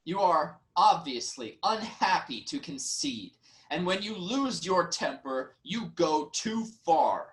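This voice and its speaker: Wronged Theban nobleman, played by an actor, higher male voice, indignant formal declamation, stage tragedy. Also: expressive, slow, fairly bright tone, exaggerated voice. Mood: wounded, defiant. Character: aggrieved, reasonable nobleman, brother of the queen. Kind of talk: virtual staged reading of Greek tragedy.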